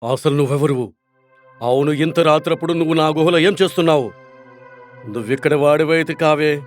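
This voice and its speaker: A man voicing a stern but protective animal king in an animated story, speaking earnestly. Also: on the high side, a little fast, faint music behind, close to an everyday voice. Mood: suspicious.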